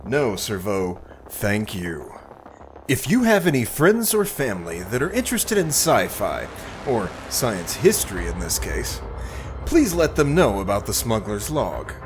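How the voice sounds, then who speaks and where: A man performing as the storytelling narrator of a space adventure audio drama, measured and theatrical in delivery. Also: mid-range voice, natural voice. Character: storytelling future-historian host of a space adventure series. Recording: music under the voice.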